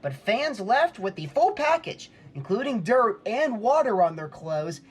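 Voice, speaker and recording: A man's voice: high voice, sing-song, a little slow, breathy edge. A man working as a sportscaster, delivering play-by-play and scripted reporting.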